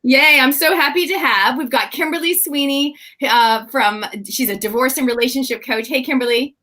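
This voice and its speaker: A woman's voice: high voice, normal speed. Upbeat Canadian woman hosting a livestream. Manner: enthusiastic, lively introductions. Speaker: livestream host.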